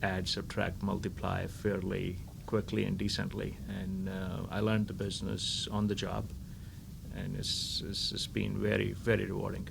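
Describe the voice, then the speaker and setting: A man's voice: sits low, monotone, moderate pace, gravelly. A middle-aged man from India answering interview questions about his business in a polite, upbeat way. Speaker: guest, lending firm founder. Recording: noisy recording.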